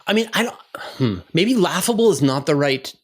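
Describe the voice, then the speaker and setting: A man's voice: fairly high pitch, animated, moderate pace, husky. A man hosting an interview in a conversational style, searching for his phrasing as he goes. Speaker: interviewer, channel host.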